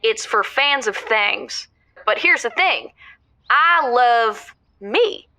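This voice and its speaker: A woman playing a middle-aged housewife calling a radio show in an audio drama, rambling, earnest and excitable. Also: a little high, slow, no echo, exaggerated voice. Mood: giddy, confiding. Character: chatty middle-aged Southern housewife caller, proud and giddy.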